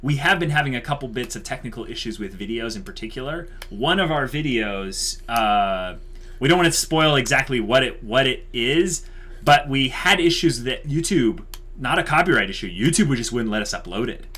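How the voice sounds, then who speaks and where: A man's voice: medium pitch, lively intonation, normal speed, sharp tone. A man co-hosting an online channel, explaining things informally in casual chat to viewers.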